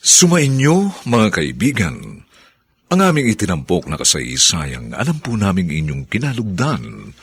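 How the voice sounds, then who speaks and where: A man announcing a radio drama in a formal, theatrical scripted style, grand and deliberate. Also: low-pitched, fairly bright tone, slightly rough.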